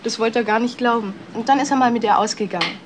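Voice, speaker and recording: An actress plays a female office worker who loves gossip, in a high voice. She chatters cattily, in the manner of a crime radio drama. There is faint noise on the recording. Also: quick pace, natural voice. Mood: gossipy. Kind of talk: German crime radio play acting.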